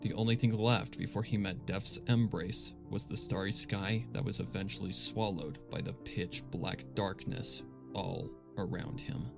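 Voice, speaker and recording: A man narrating horror fan fiction in a grave, deliberate, scripted dramatic style. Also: deep voice, fairly even intonation, gravelly. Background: music.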